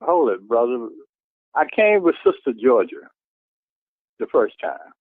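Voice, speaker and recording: A man's voice: medium pitch, sing-song, measured pace, no room sound. A man arguing over the phone, indignant and repeating himself insistently. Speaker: church deacon receiving a phone call. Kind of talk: heated phone argument. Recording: tinny narrow phone line.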